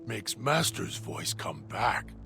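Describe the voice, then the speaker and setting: A man voicing a deranged, hungry male dog-creature who talks of himself in halting, broken third-person speech. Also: fairly low pitch, big pitch swings, heavily acted. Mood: eerie. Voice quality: gravelly. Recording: music bed underneath.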